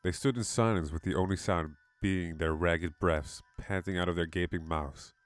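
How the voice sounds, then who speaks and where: A man with a low voice narrates a scripted horror tale. The recording is dry, and music plays softly behind him. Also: moderate pace, no echo.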